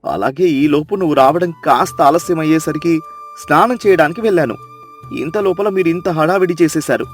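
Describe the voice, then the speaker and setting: Woman voicing hot-tempered husband, lower gruff register, faint music bed. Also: moderate pace, dark tone, lightly acted. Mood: angry scolding. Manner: scolding, exasperated. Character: scolding middle-aged village husband, short-tempered.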